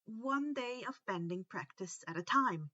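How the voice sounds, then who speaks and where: A woman reads fiction aloud with a high, bright voice. Her delivery is expressive and swoops widely in pitch, leaning into casual asides as she narrates. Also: measured pace, dry recording, dull audio. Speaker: reader of fan fiction for online listeners. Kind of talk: expressive audiobook-style story narration.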